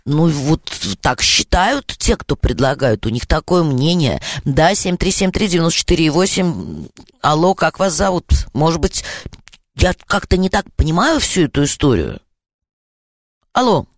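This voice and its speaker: A woman's voice: sits low, sing-song, dry recording. Woman with a moscow accent, radio call-in host, hesitant and stumbling, dry close studio sound. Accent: Moscow Russian. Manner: hesitant, full of drawn-out fillers and stuttered starts.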